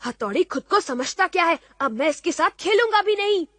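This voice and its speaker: Very high-pitched whiny cartoon schoolboy. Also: female voice, fairly quick, no room sound, exaggerated voice. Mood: sulky. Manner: whiny, excitable. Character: gullible, whiny, eager lazy schoolboy. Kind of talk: Hindi cartoon dub acting.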